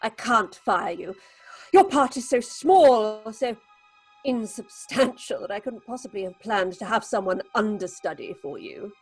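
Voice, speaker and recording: A woman acting in an audio horror drama as a proud, haunted theatre director, husky and commanding in a clipped, theatrical way. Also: mid-range voice, lively intonation, unhurried, faint music behind, low-quality recording. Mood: icy composure. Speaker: actress.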